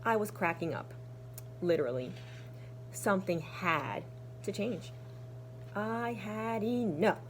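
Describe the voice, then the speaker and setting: A woman teacher reading to young children in an expressive, deliberate storytelling manner. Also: medium pitch, a little hiss or hum. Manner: animated, deliberate storytelling with hushed, breathy asides. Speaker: kindergarten teacher. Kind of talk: expressive children's storybook read-aloud with asides.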